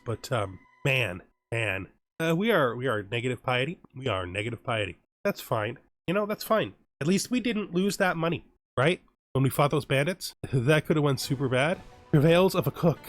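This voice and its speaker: A man hosting a let's play, thinking aloud in casual gameplay commentary, hesitant and often correcting himself, sometimes reading game text aloud. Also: medium pitch.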